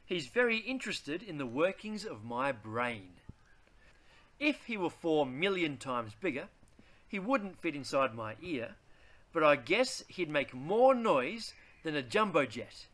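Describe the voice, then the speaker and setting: A man, reading a children's picture book aloud in a deliberate, theatrical way. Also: a little high, very animated, a bit bright. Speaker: read-aloud host.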